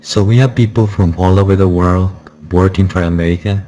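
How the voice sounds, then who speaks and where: A man's voice: deep voice, steady tone, brisk, warm tone, muffled recording. A man giving a casual, insistent spoken review, his voice run through a voice changer. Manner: insistent, repetitive, warning listeners. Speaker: software reviewer. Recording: background noise.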